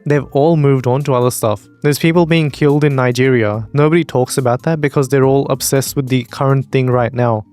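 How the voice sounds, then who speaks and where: Young male reaction host, blunt and opinionated, medium pitch, faint music bed. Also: a little mellow. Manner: blunt, opinionated, swears freely. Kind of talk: casual reaction commentary.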